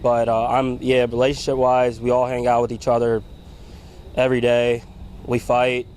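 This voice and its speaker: Halting young man in a band. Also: medium pitch, monotone, a little mellow, slight background noise. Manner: halting, repeats words. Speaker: band member. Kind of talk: casual interview answers. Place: outdoors at a music venue.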